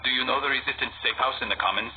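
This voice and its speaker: A man acting as a stoic, guarded young assassin in a video game, speaking in a measured, serious, terse way. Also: medium pitch, close to an everyday voice. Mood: businesslike. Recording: tinny phone-like band, clear noise.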